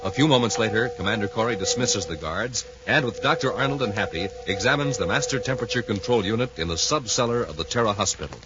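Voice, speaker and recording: An actor serving as the measured, dramatic narrator of a 1950s space adventure radio serial. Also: male voice, fairly low pitch, close to an everyday voice. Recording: muffled 1950s radio, music behind.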